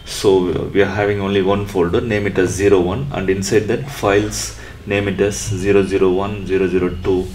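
A man presenting an electronics tutorial step by step in a halting, instructional way. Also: low-pitched, flat delivery, a little mellow, a little hiss or hum.

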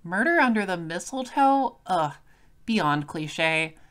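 A woman's voice: fairly low pitch, animated, slow, fairly bright tone. A woman playing a composed, droll space detective, narrating an audio drama in a dry, matter-of-fact way.

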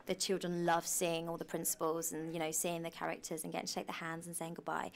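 Young woman, bit of vocal fry, lowish, chatting casually in a backstage interview. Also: monotone, fast, slight background noise. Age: 20s. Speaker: pantomime cast member interviewed. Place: small backstage costume room, indoors.

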